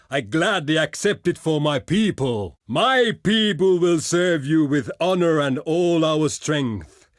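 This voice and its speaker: Proud, slow-spoken barbarian envoy. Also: male voice, fairly high pitch, no room sound, studio quality. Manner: declamatory, weighty, formal oratory. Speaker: diplomat character in a strategy game. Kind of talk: theatrical scripted game voice lines.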